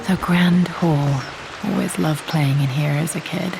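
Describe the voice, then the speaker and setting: A wistful, dry-witted young British explorer murmurs to herself in a game. Her voice is low and full of creak, unhurried, with music behind it. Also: steady tone, poor audio. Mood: wistful, wry. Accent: British English. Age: young adult. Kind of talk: game character musing to herself.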